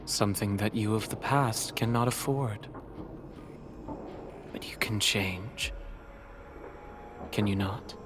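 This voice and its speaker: An actor playing a courtly, enigmatic video game villain who professes devotion, with formal, theatrical phrasing. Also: male voice, fairly low pitch, takes it slowly, pauses often, background noise. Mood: courtly, cryptic. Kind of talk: video game story voice acting. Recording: dramatic music behind the voice. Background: game music.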